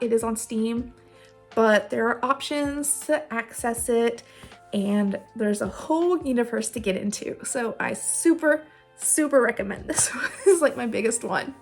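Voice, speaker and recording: High-pitched giggly woman chatting casually. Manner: giggly, laughs often while talking; informal, rambling, self-deprecating. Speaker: video host giving game recommendations. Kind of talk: casual chatty recommendation vlog. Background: music playing under the voice.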